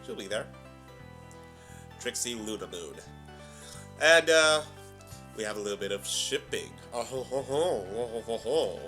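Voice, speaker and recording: Bright-voiced theatrical male reaction host. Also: medium pitch, expressive, measured pace, long pauses, soft background music. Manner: theatrical, hammy. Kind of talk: excitable reaction commentary.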